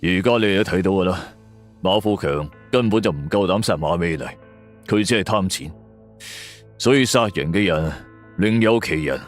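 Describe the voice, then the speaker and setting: Male narrator as seasoned cop, confident and firm, lowish voice, for a suspense story. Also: animated, normal speed, soft background music. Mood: certain. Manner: firm, knowing.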